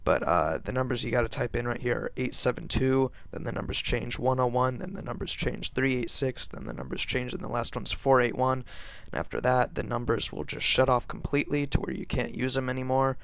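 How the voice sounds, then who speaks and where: A young man talks casually through a gameplay video. His voice has noticeable fry and sounds a little sick. He is informal and hesitant in his delivery. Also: mid-range voice, fairly even intonation, fairly quick, a bit bright. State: sick, voice slightly off. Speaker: gaming video creator.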